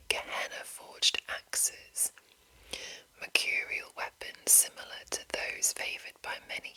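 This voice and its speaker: A woman hosts ASMR in a steady whisper, reading aloud and adding soft asides. Her delivery is slow and lingering, with a very crisp, bright edge to each hushed phrase. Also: low-quality recording. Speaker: ASMR host reading a monster manual.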